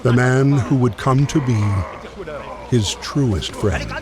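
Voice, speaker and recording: An actor playing the solemn narrator of a wartime story in a video game, in a scripted style. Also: male voice, medium pitch, expressive, takes it slowly. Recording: music behind the voice.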